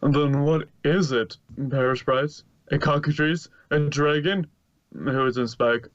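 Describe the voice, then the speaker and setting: A man voicing a refined, dramatic female unicorn, drawn-out and prim, prone to hysterics, on a muffled home recording. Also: on the high side, steady tone, no room sound, natural voice. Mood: fretful.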